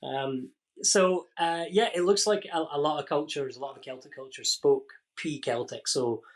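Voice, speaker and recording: A man's voice: a little high, normal speed. A man from northeast Scotland with a Scottish accent, a guest explaining history on a podcast, with many fillers and restarts. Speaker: guest expert on Pictish history. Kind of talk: casual podcast interview.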